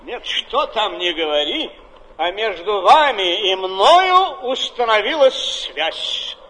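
Slow, ardent, melancholy man of letters. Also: high voice, big pitch swings, sharp tone, full character voice. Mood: ardent, regretful. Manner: declamatory, heartfelt, with dramatic pauses. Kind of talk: theatrical radio drama reading of letters and verse. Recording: noisy, hissy radio play recording.

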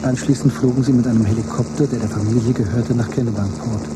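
A man narrating a literary radio drama from a script in a measured, understated way. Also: mid-range voice, fairly even intonation, heavy background noise.

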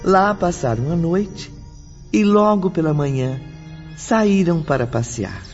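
A male narrator with a Brazilian accent tells a literary radio drama story. His mid-range voice rises and falls expressively. Clear music plays under slightly dull audio. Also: fairly high pitch, lively intonation, slow.

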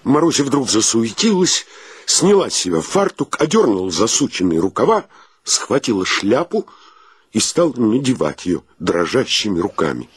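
A husky, low-pitched storyteller narrates a radio play with wry solemnity. His delivery swings expressively up and down over a bed of music. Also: very animated, normal speed. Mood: measured storytelling. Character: male storyteller, wryly solemn, narrating a comic tale.

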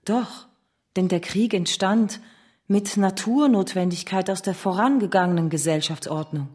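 An actress playing a steadfast socialist theorist in a German radio drama, firm, dry and didactic. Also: female voice, medium pitch, normal speed, darkish tone, slightly rough, close to an everyday voice. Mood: firm, insistent. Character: steadfast female socialist theorist, cool, unyielding.

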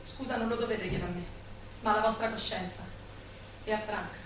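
A woman's voice: mid-range voice, steady tone, a little fast, lightly acted. An actress in live radio theatre playing a proud, plain-spoken working-class woman with a Roman accent. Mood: firm, consoling. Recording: very echoey hall, distant mic, faint noise.

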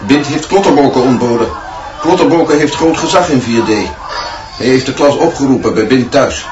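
An actor playing a knowing schoolteacher in a radio play, explaining things emphatically. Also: male voice, medium pitch, fairly quick, close to an everyday voice. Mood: knowing, emphatic. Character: male schoolteacher, knowing admirer of the headmaster's system. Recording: distant, echoey, dull, crowd and music behind.

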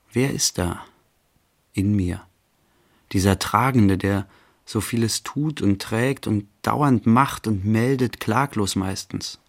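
A pensive calm man in a radio play, lowish gravelly voice, thinking out loud in slow broken bits. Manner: halting, reflective, short fragmented phrases. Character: pensive man musing inwardly, mildly serene. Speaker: actor, narrator. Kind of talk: literary radio play monologue.

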